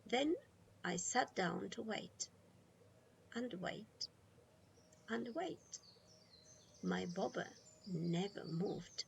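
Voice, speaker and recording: A woman reading a children's picture book aloud as an expressive storytime narrator. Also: mid-range voice, drawn-out words, pauses often. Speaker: storytime reader. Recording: clear background noise.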